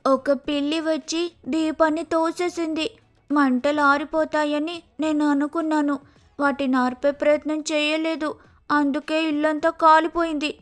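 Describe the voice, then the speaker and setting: A woman's voice: sits high, flat delivery, a little slow, faint music behind. A woman voicing a lazy, sulky young sparrow daughter-in-law in a cartoon, with whiny, careless complaining. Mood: explaining.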